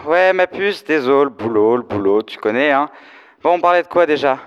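A young man plays a flamboyant, fashion-mad gossip in a comic audio drama. His high voice stretches slangy exclamations theatrically. It echoes around a large hall. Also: animated, clear, resonant tone, highs cut off, close to an everyday voice. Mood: encouraging. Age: teenager or young adult. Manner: camp, theatrical, drawn-out exclamations. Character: gossipy, flamboyant, fashion-obsessed DJ best friend. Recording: echoey hall, live stage performance.

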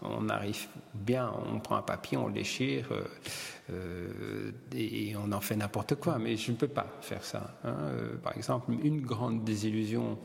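Gravelly Belgian-born man recalling with hesitations. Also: lowish voice, unhurried. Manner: hesitant, many fillers and restarts. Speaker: hairdresser, gallery owner and publisher. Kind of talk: relaxed interview storytelling. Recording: long reverb, faint ambience.

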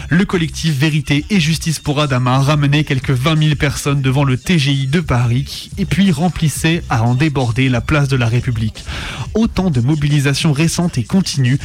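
A man presenting on radio, reading a scripted militant documentary text in measured phrases. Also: fairly high pitch. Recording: music playing under the voice. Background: music.

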